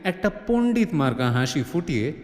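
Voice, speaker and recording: A man's voice: fairly high pitch, sing-song, normal speed. A man narrating a comic mystery audio drama as a dramatic scripted storyteller. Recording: roomy, long studio reverb.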